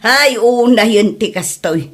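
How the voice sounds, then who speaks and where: Woman acting teasing ilocano mom in a comedy drama, high voice, slow drawn-out talk, laughs through her words. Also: lively intonation. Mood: mocking amusement. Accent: Ilocano Filipino. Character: mocking, giggly mother and auntie.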